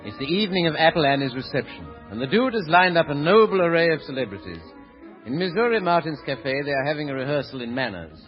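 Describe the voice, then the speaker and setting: A man's voice: on the high side, sing-song, fast talker. A man announcing and narrating from a script in 1930s radio style. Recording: muffled old radio recording. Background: faint music.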